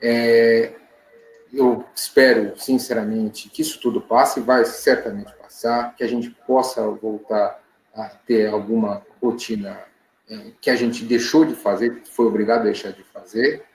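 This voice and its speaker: A man's voice: on the low side, steady tone, unhurried, echoey room, a little hiss or hum, poor audio. A man giving formal, grateful closing remarks as a panelist on a video call.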